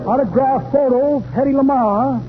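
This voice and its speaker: A grandiose male huckster in a 1930s radio comedy. The voice is very high for a man and rolls out flowery, rambling sales patter. It comes through a tinny, noisy old broadcast recording. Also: normal speed. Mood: blustering, sly. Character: pompous, wordy old-style male con man and salesman.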